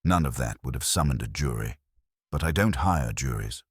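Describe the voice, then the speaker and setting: A man's voice: rumbling low, expressive, moderate pace, slightly rough, dry recording, studio quality. A man reading a detective story aloud as a scripted audiobook narration.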